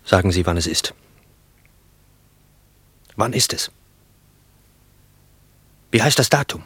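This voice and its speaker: A man's voice: deep voice, expressive, slow, fairly bright tone, husky, no echo, exaggerated voice. A man acting a hunted, tormented writer in a German radio play, anguished and urgent.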